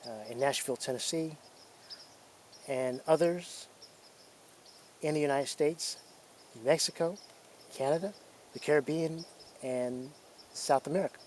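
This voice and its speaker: A man's voice: fairly high pitch, unhurried, long pauses, a little mellow, a little hiss or hum. A man presenting as a radio hobbyist, giving casual hands-on demonstration commentary.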